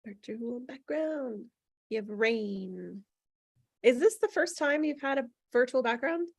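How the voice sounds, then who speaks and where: A woman with a higher voice chats in a friendly, easy way over a video call. The sound is dry, with little room echo. She is hosting a script table read. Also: animated, takes it slowly, vocal fry, slightly rough. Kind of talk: casual chat and script reading.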